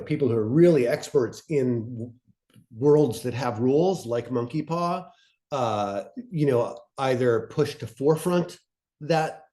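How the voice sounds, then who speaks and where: A man with a soft, mellow voice talks informally as a panelist. He explains his craft in a measured, conversational way, at an ordinary mid-range pitch. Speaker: panelist, fiction podcast director. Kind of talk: informal instructional talk.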